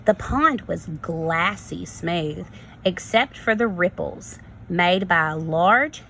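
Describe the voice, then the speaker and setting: A woman kindergarten teacher reading a story to young children, expressive and instructive, prompting them with questions. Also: lowish voice, slow, slight background noise. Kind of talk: read-aloud lesson for young children.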